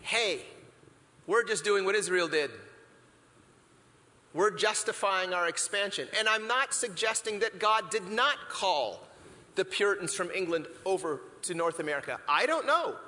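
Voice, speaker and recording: A man from the Philippines delivers a conversational sermon, musing aloud through rhetorical questions and frequent restarts. His voice sits a little high and rings bright and pointed. The sound carries a long reverb from a church hall. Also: animated, measured pace. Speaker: pastor.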